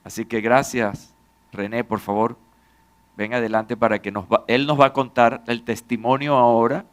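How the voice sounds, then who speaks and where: A male pastor giving a measured, formal introduction to a testimony before his church. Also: medium pitch, steady tone, smooth.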